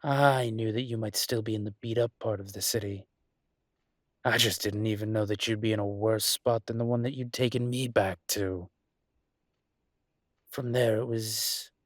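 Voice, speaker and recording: A man playing a teasing, smug but protective dragon shifter mafia boss in an intimate roleplay audio, speaking in a measured, deliberate way with dramatic pauses. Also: on the low side, dry recording, clean studio sound, natural voice.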